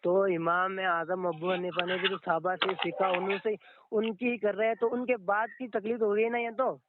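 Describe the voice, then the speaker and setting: High-voiced man arguing on noisy phone line. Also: level tone. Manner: halting, repetitive, defensive. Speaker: caller, layman questioner. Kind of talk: argumentative phone conversation. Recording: noisy, dull telephone call with clicks.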